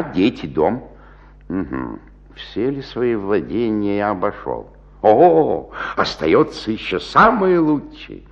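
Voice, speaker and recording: Jolly boastful craftsman, slow and wildly expressive. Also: low voice, creaky, heavily acted. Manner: savouring, chuckling, rhetorical, swinging from murmurs to exclamations. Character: boastful jolly middle-aged Burgundian joiner, merry talkative drinker. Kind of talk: theatrical Soviet radio play monologue. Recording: dull lo-fi 1960s radio recording.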